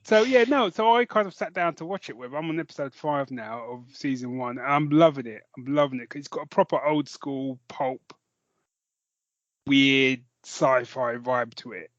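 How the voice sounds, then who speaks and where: A man's voice: medium pitch, moderate pace, dry recording, lo-fi sound. A man chatting casually as a podcast co-host, rambling and jokey in a self-deprecating way.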